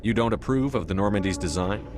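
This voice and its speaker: An actor playing a composed, dutiful military commander in a sci-fi video game, respectful but firmly assertive. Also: male voice, fairly low pitch, fast, close to an everyday voice. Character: composed, dutiful male starship commander, diplomatically firm.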